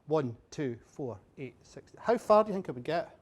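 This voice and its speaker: A Scottish man with a fairly high voice gives a keynote at a dinner, chatty and quick with a joke. He keeps throwing questions at the audience. He is miked up in a venue with a bar. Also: sing-song. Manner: chatty, jokey, quizzing the audience. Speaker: keynote speaker. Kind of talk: humorous after-dinner talk.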